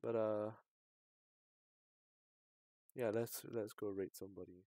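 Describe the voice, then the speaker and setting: A man's voice: lowish voice, steady tone, takes it slowly, dark tone, no room sound. Rambling, hesitant male game streamer. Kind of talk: casual livestream chat over a visual novel. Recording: music behind the voice. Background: game music.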